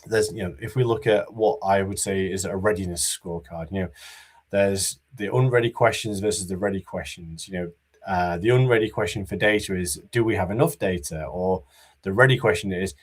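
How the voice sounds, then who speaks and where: Man, very low voice, monotone, halting delivery, lots of ums and restarts. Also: husky. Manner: halting, many fillers and restarts. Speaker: conference speaker. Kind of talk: conference talk.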